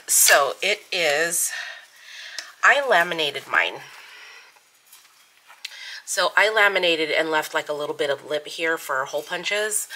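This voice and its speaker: Middle-aged woman, sniffly, crisp bright tone, rambling ums, low-pitched, casual home vlog host. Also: a little hiss or hum. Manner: rambling, many ums, giggly. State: sniffly from allergies. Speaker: planner and savings channel host. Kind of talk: casual chatty voice-over. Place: home craft desk. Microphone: overhead camera on desk, hands only.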